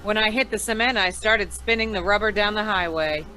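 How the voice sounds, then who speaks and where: A woman's voice: medium pitch, brisk, sharp tone, a little hiss or hum, natural voice, low-quality recording. A woman playing a wry, hard-boiled insurance investigator, narrating an old-time radio noir in slangy style. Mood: tense, punchy.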